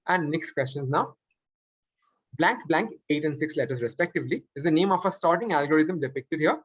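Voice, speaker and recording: A male quiz master reads questions aloud in a somewhat high voice. It has a husky edge. The sound is dry, with little room around it. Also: dry recording, low-quality recording. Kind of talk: reading quiz questions aloud, with spoken asides.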